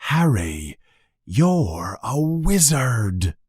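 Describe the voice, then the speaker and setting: A man voicing a warm-hearted but hot-tempered giant gamekeeper in a storybook, speaking emphatically with drawn-out words. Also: on the low side, very animated, no room sound, clean studio sound, full character voice. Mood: proud.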